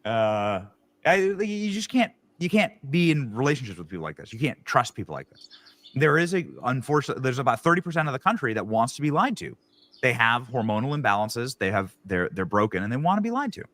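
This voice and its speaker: A man's voice: mid-range voice, sing-song, brisk, fairly bright tone, no echo. Blunt, mocking male commentator. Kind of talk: opinion commentary.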